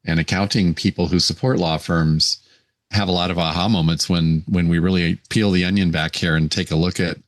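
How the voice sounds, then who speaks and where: A man with a raspy voice hosting a casual podcast, chatting in a folksy, exclamatory way. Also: deep voice, fairly even intonation, brisk, no echo. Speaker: podcast host.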